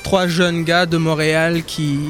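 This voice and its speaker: A young man in his twenties from Rwanda, a singer in a vocal group, talking casually with many pauses and fillers. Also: fairly high pitch, faint music behind. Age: 20s. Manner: relaxed, hesitant with many fillers. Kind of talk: casual interview and a cappella singing.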